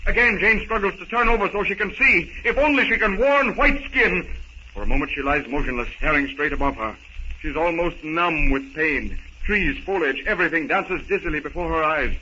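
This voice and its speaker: Male narrator for vintage radio adventure: theatrical suspense, raised pitch, dull recording with clear noise. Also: moderate pace, bright, forceful tone. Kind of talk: 1930s radio serial narration, dramatic. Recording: muffled, noisy 1930s radio recording.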